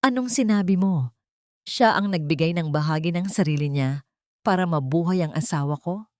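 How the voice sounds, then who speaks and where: A woman voicing a proud, wealthy widowed father in an audio drama, halting and dramatic. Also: lowish voice, a little mellow, no room sound, clean studio sound, close to an everyday voice. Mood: shocked disbelief.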